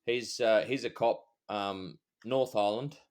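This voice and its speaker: A middle-aged Australian man, a former cop co-hosting a podcast, chatting in a rambling, halting, easygoing way. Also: on the low side, clean tone. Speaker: podcast co-host, former police officer. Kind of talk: casual chat.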